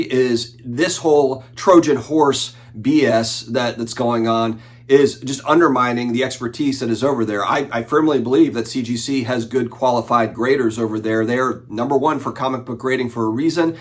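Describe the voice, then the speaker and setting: A man in his forties, sick with the flu and a bit nasal, hosting a collectibles channel in a casual, rambling chat with fillers and restarts. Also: mid-range voice. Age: 40s. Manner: conversational, frequent fillers and restarts. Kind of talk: casual talking-head commentary.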